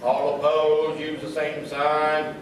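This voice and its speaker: Man playing a slow, pompous lodge leader. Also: fairly high pitch, monotone. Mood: solemn, pompous. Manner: pompous, ceremonious, dismissive. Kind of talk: comic radio theatre acting. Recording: echoey hall, distant mic, faint noise.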